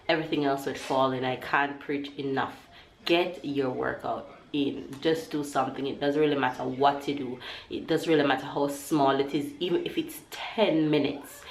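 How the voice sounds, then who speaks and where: A woman vlogging at home with a stuffy nose, talking casually to camera and urging viewers to work out. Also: low voice. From Jamaica. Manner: motivational, upbeat, preaching about workouts. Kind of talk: casual vlog chat to camera. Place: at home, indoors. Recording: echoey room with faint noise.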